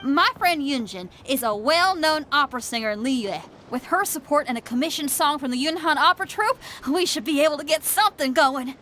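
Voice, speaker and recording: An actress playing a bold, upbeat young female rock musician in a game, talking in an energetic, breezy and persuasive way. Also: high voice, brisk, hardly any pauses, a little hiss or hum. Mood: encouraging. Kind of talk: game dialogue. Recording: game audio with faint music behind.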